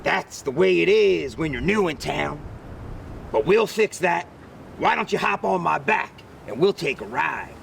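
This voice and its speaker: A man's voice: sing-song, unhurried, creaky, full character voice. A man voicing a sly, self-assured tiger in a children's storybook, coaxing and casual. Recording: distant mic, rumbly background noise.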